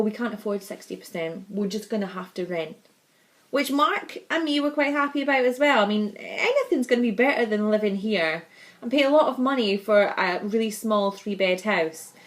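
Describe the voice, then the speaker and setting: A young woman with a Scottish accent, chatting casually and bubbly to camera in her vlog, laughing often. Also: mid-range voice. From Scotland. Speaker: vlogger.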